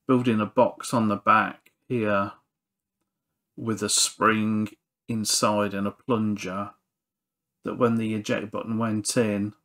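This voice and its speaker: A man's voice: on the low side, a bit bright. A man narrating a hands-on repair walkthrough in an understated, matter-of-fact way. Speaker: repair channel presenter.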